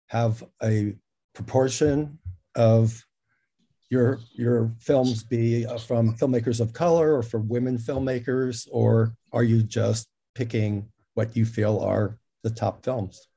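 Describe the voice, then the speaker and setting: A man's voice: mid-range voice, steady tone, slow, mellow, breathy edge. A man moderating a conversation, putting interview questions in a conversational way.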